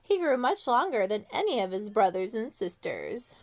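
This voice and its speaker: A woman reading a picture book aloud to young children in an expressive way, with dramatic pauses and questions to her listeners. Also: fairly high pitch. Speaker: children's storytime reader.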